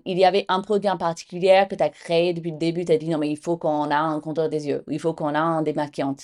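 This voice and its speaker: Low-voiced woman interviewer. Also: little pitch movement, quick pace, a little mellow. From United States. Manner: friendly, hesitant questions with fillers. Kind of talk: casual interview chat.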